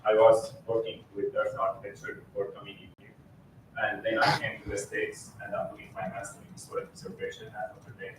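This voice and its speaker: Syrian guy, earnest intern talking to the board, lowish voice, far echoey mic. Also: flat delivery, brisk, background noise. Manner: earnest, leans on "like". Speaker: graduate intern. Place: town meeting hall. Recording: distant echoey meeting-room mic.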